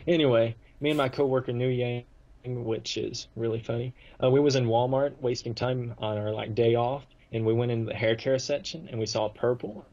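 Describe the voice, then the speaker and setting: A man's voice: medium pitch, fairly even intonation, normal speed, dark tone, dull audio. A man chatting casually as a guest on a talk podcast, telling rambling stories in loose, colloquial grammar. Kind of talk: casual chat.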